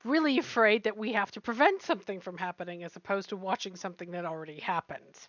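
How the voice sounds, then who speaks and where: A woman's voice: mid-range voice, quick pace, fairly bright tone, no room sound, muffled recording. A woman giving casual, playful commentary as a co-host, teasing and wry.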